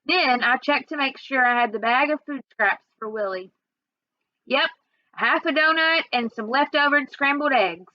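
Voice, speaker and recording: High-voiced woman reading a story aloud. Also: moderate pace, no room sound, highs cut off. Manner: expressive, acts out dialogue. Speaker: reader. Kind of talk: read-aloud storytelling of a children's novel.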